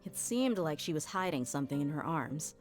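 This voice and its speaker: A woman's voice: sits low, expressive, a little fast. An actress voicing a tough, protective woman bodyguard with a dry manner in a video game. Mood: dry, firm. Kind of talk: video game character dialogue. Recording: game music behind the voice.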